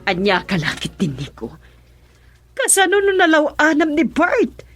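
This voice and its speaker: A woman's voice: sits high, huge pitch swings, measured pace, faint music behind, full character voice. A woman playing a fretting, reproachful mother of a grown son in a radio drama. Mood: worried, reproachful.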